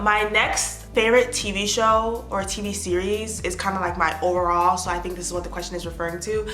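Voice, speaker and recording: A young American woman in her twenties chatting casually to the camera as an influencer, answering fan questions with animated bursts of excitement. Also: mid-range voice, reverberant. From United States. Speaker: lifestyle influencer. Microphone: phone or tablet camera.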